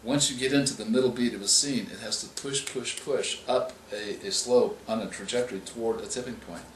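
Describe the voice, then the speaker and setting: A male author answering interview questions, explaining in a measured way and often restarting his phrases. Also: medium pitch, a little hiss or hum. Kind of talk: interview answers on writing craft. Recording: echoey room with faint ambience.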